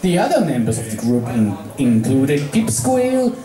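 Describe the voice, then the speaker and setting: An amateur reader narrating fan fiction aloud in a halting way, stumbling over words. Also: mid-range voice, sing-song, dark tone, recorded from a distance. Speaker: story reader. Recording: echoey room.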